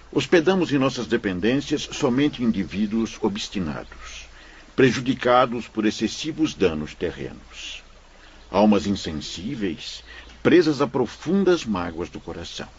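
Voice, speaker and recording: Husky, very animated good-humored priest. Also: male voice, fairly low pitch, a little slow, lo-fi sound, exaggerated voice. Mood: firm, grave. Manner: jovial, courteous, firm. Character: good-humored, humble priest running a strict spirit ward. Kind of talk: radio drama acting.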